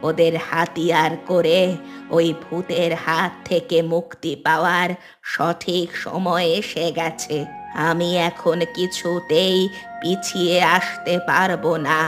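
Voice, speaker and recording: A vengeful village occultist mother in a horror cartoon. Her voice is low and very level, solemn and measured, with music underneath. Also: little pitch movement. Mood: grim, resolute. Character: grim, vengeful bereaved mother, village tantric practitioner. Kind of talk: scripted Bengali horror cartoon acting.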